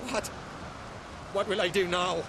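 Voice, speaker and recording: Dazed, high-pitched island lord. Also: male voice, steady tone, measured pace, noisy background. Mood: bewildered. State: dazed, distressed. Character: dazed, confused, tormented island jarl. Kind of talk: video game voice acting.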